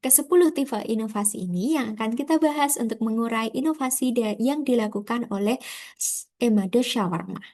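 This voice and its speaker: A woman with an Indonesian accent speaks in a fairly high voice. She presents like a student walking classmates through slides. Her delivery is conversational and informal, addressing listeners directly with rhetorical questions. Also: darkish tone. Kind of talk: informal slide presentation explaining a business case.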